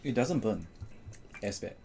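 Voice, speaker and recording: A man's voice: on the low side, expressive, unhurried, gravelly, crowd noise. A man bantering casually with friends in an informal, teasing way. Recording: music playing behind the voice.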